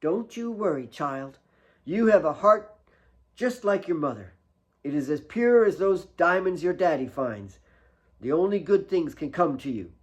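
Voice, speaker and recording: A man's voice: fairly high pitch, lively intonation, unhurried, darkish tone, pitched above the performer's own voice. A man voicing a big, kindly, comforting motherly woman in an audiobook. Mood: tender reassurance.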